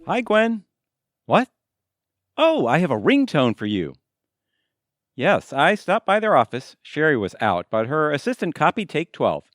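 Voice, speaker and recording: A middle-aged suburban husband acted by a man in an audio theatre comedy. His fairly high voice moves around a lot, playful and easily flustered. The sound is dry and close. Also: huge pitch swings, measured pace, long pauses, a bit bright, dry recording, exaggerated voice.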